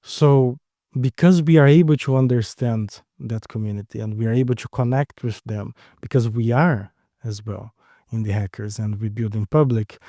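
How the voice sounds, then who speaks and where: A man's voice: medium pitch, mellow, dry recording, clean studio sound. A man from Brazil with a Brazilian accent, a startup co-founder answering interview questions in short, explanatory phrases.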